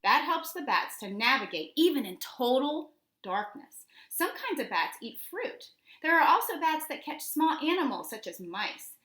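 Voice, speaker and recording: A woman teacher reading children's nonfiction aloud, addressing young listeners directly with deliberate, instructional phrasing. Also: a little high, bright, forceful tone, roomy sound, rough recording.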